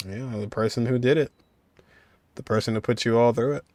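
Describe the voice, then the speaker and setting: A man's voice: medium pitch, fairly even intonation, brisk, a little mellow, dry recording. A man streaming a game, giving informal commentary and thinking aloud in a casual way.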